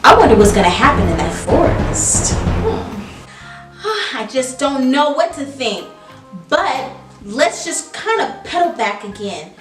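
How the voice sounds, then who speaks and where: A woman storyteller with a fairly high, very lively voice addresses children. She takes her time, stressing words expressively, with music behind her. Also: takes it slowly. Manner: animated, emphatic. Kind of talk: expressive read-aloud storytelling and commentary for children.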